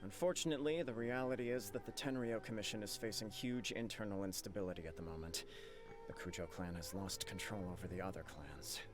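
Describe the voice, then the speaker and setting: A man plays a young noble clan head in game dialogue. His voice is fairly low, grave and formal, carrying a burden of responsibility. Faint music sits behind him. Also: brisk, natural voice. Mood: grave, worried. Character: earnest, self-blaming young male acting clan head. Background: soft game music.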